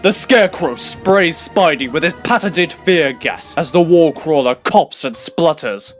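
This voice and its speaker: A man's voice: fairly high pitch, animated, measured pace, faint music behind. A man narrating a scripted superhero audio drama with dramatic, theatrical storytelling.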